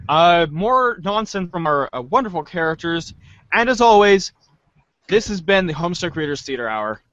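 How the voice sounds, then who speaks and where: A man with a fairly high voice hosts an amateur show's sign-off. He is playful and theatrical. The sound is dry and somewhat muffled, as on an online call. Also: sing-song, moderate pace, fairly bright tone, no echo. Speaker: show host. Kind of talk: informal show sign-off.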